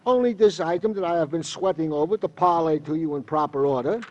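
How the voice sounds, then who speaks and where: An actor playing a fussy, devoted New police sergeant with florid, mock-formal, long-winded phrasing in an old radio drama. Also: male voice, a little high, brisk, close to an everyday voice. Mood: officious. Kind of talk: 1950s radio crime drama acting. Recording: muffled old radio recording.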